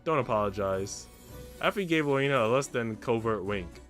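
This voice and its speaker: A man's voice: medium pitch, lively intonation, brisk, a bit bright. A man streaming a visual novel, reading its text aloud and adding casual asides, with music playing behind him.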